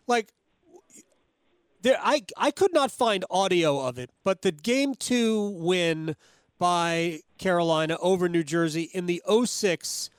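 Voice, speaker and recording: A man's voice: high voice, slow, clean tone, no echo, studio quality. A man hosting conversational sports talk, recalling things in an eager, opinionated, rambling way. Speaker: sports show host.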